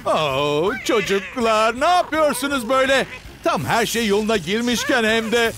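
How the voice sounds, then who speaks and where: A narrator giving excited, theatrical commentary on a children's cartoon, full of gasps and exclamations. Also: medium pitch, moderate pace, music in the background.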